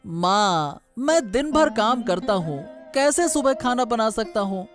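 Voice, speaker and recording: A man's voice: sits high, animated, slow. A man plays a harried courtier son in a cartoon moral tale. He fusses and complains in a plaintive voice, with music playing underneath. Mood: exasperated. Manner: plaintive, fussing. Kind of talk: Hindi cartoon moral-story dubbing.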